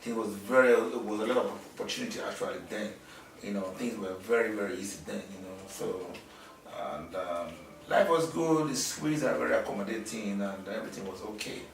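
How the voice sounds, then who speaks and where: A middle-aged man from Nigeria, answering interview questions in a halting way with many fillers and restarts. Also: lowish voice, creaky, a little hiss or hum. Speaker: interviewee, businessman. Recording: echoey room, mic at a distance.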